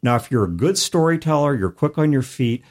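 A man hosting a talk show about tabletop gaming, explaining things in an articulate, conversational way with measured, emphatic phrasing. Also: a little high, animated, darkish tone. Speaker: podcast host. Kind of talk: conversational advice on tabletop game mastering.

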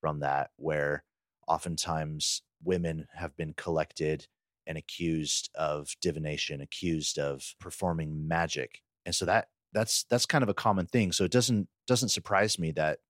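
Male co-host with a very low voice, relaxed podcast conversation, very dry close sound. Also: a little slow, no room sound. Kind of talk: casual conversational discussion.

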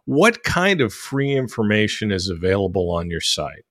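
A man's voice: on the low side, sing-song, normal speed, fairly bright tone. A man hosting a casual interview, laughing often and bantering with his guests. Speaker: podcast host.

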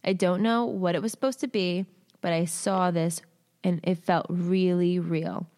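A woman hosting a show, reading a listener's submitted story aloud in short halting phrases, then chatting candidly with casual slang. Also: lowish voice, fairly even intonation, darkish tone. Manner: candid, uses swearing and slang. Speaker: host reading listener stories.

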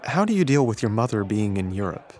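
A man's voice: lowish voice, sing-song, a little fast, darkish tone. Brooding teenage boy played by an actor. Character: brooding, self-pitying teenage high school boy. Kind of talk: radio drama acting.

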